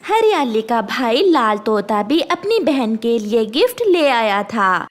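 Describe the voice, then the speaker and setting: A woman's voice: on the high side, very animated, slow pace. A woman reading scripted narration for a children's story, as the narrator.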